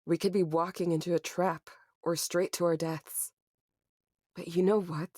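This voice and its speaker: A woman playing a weary but defiant young survivor in a horror audio drama, with measured, raw delivery. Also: lowish voice, little pitch movement, a little mellow, dry recording, clean studio sound, lightly acted. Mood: grim resolve. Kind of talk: horror drama acting and first-person narration.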